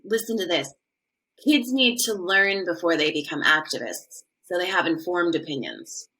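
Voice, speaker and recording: Bright, lowish-voiced conflicted school administrator. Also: female voice, expressive, moderate pace, slight background noise, poor audio. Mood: earnest, concerned. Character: conflicted, earnest female school administrator weighing controversy. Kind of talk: dramatized podcast acting.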